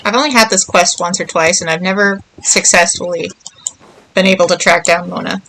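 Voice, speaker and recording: A woman's voice: fairly low pitch, fairly even intonation, fairly quick, sharp tone, a little hiss or hum, low-quality recording. A woman chatting casually as she streams a game, rambling and thinking aloud. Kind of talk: casual livestream chat while playing.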